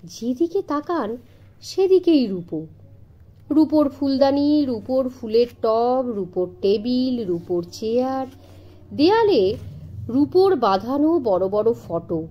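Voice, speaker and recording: A woman narrating a scripted children's story in an expressive, dramatic storytelling manner. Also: fairly high pitch, slow pace, lo-fi sound. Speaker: audiobook narrator.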